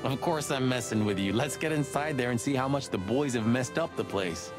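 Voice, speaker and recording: An actor playing a talkative, sardonic rooster cop sidekick in a film-noir adventure game. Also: male voice, mid-range voice, a little fast, over music, lightly acted. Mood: teasing.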